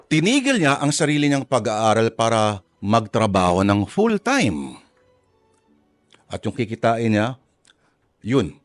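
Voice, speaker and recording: A man hosts a radio drama program with a lively, swinging delivery at a middling pitch. He talks to listeners like a preacher, posing rhetorical questions as he sets up the story, with soft music underneath. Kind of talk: conversational radio introduction to a drama. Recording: music behind the voice. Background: background music.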